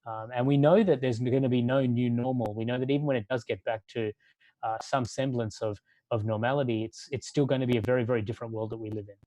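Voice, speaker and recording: Mellow-voiced man giving a reflective talk. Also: medium pitch, fairly even intonation, dry recording, poor audio. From Australia. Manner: measured, building long lists for emphasis. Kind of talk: sermon-like talk.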